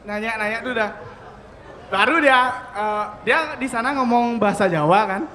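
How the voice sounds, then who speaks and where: A man's voice: high voice, moderate pace, sharp tone, slight background noise. A man from Bali, a band member telling stories in a casual podcast chat, laughing often and acting out quoted speech.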